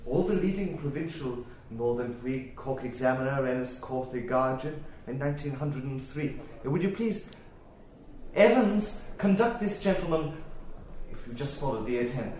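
An actor playing a fussy, courteous librarian who hesitates and repeats himself. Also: male voice, mid-range voice, normal speed, darkish tone, slight background noise, lightly acted. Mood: fussy polite. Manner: hesitant, polite, full of "uh" and repeated words. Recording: roomy echo.

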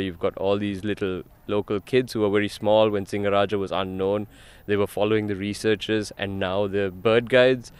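Sri lankan guy being interviewed, low smooth voice, just explaining stuff conversationally. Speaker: ecotourism company representative, interview guest.

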